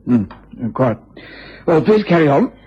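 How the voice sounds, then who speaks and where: An actor playing a dutiful, measured police inspector with an English accent in a radio drama. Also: male voice, fairly high pitch, very animated, full character voice. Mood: polite, probing. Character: dutiful, methodical male police inspector, politely deferential. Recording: muffled, rough old radio recording.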